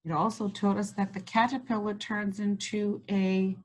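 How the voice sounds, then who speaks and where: A woman's voice: medium pitch, flat delivery, rough recording. Encouraging woman reading a story aloud. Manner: warm, encouraging, slows and stretches words when reading. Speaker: school district host reading to children. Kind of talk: read-aloud lesson and chat.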